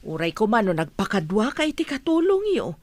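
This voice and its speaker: A woman's voice: mid-range voice, sing-song, normal speed, darkish tone. A woman playing an inquisitive, concerned middle-aged auntie in a radio comedy drama. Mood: curious, concerned.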